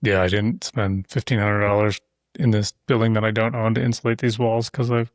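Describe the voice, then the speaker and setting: A man with a lowish voice hosts a podcast and talks easily, wandering into asides as he explains things. He sits close to the mic, and the sound is dry and close, with no room echo. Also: flat delivery, fairly quick. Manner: talkative, explains at length with loose asides. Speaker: podcast host. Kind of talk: casual conversation. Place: insulated shop-building studio. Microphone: close studio mic.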